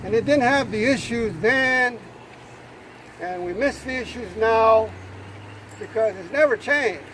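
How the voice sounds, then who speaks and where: An older man addresses a demonstration outdoors, his voice unusually high and loud, plain-spoken and halting with fillers. Street noise and passing vehicles run clearly under his words. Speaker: rally speaker, former prisoner. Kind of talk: outdoor protest speech. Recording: noisy outdoor street recording.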